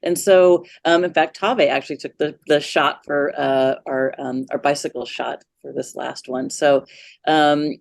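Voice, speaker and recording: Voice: mid-range voice, steady tone, measured pace, creaky, no room sound. A magazine co-founder speaking as an interview guest, appreciative and hesitant, with many fillers. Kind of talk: conversational interview.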